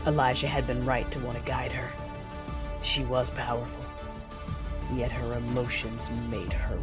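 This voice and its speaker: A woman playing a cold, vengeful female Sith lord in an audio drama, controlled and menacing as she narrates her deeds. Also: extremely low pitch. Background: music.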